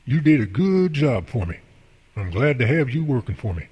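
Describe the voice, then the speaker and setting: An actor playing a folksy, devout boss and mentor in an amateur radio drama, explaining things earnestly and at length. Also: male voice, medium pitch, very animated, moderate pace, darkish tone, breathy edge, muffled recording. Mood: friendly, earnest. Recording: long hall reverb.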